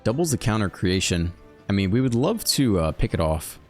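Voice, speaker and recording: A man hosting a card game video, thinking aloud in a relaxed, chatty way as he plays. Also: fairly low pitch, moderate pace, soft background music. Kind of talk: casual live gameplay commentary.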